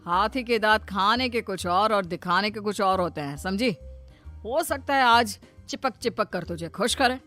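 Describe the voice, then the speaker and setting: A woman's voice: medium pitch, unhurried, bright, forceful tone, faint music behind. A woman voicing a scheming, nagging young husband and son in a storybook tale, sly and needling.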